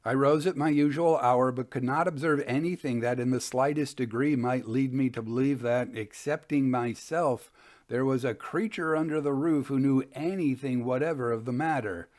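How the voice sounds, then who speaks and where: A man hosting and reading Victorian ghost stories in a measured, expressive storytelling manner. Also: mid-range voice. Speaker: podcast host and reader of ghost stories.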